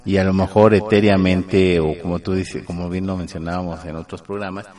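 Deep-voiced man co-hosting. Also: level tone, brisk, a little mellow. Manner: explains with questioning tags and repeated words. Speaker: podcast co-host. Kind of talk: casual chat about the paranormal.

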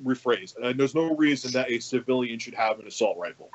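A man in a casual political panel discussion who talks haltingly, repeats words and swears freely. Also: medium pitch, expressive, highs cut off. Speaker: podcast panelist.

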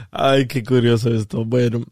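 A man's voice: mid-range voice, lively intonation, slow pace, darkish tone, husky, no room sound, studio quality. A man hosting a radio show, bantering casually with callers in a teasing, laughter-filled way.

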